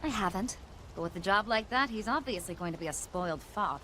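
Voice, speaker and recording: A woman's voice: medium pitch, expressive, brisk, pushed and bright, a little hiss or hum. A sardonic, cocky female mercenary. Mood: scornful. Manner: teasing, arch. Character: sardonic, cocky mercenary woman with mocking playfulness. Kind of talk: video game cutscene acting. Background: music.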